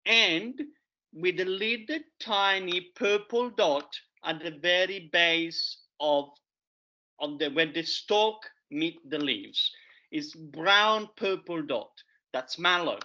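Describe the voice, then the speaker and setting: A man's voice: fairly high pitch, pushed and bright. A man teaching about wild edible plants in a casual, enthusiastic show-and-tell way. He speaks in loose, chatty phrasing full of fillers and often repeats himself. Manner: enthusiastic, chatty, repeats "there you have it"; loose non-native phrasing with many fillers. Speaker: foraging educator. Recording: dull lo-fi recording.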